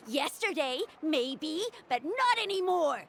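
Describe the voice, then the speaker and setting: A woman voicing a loyal, sensitive, indignant young child in animated game dialogue, earnest and pleading. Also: high voice, slow pace, a little hiss or hum, heavily acted. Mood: sullen.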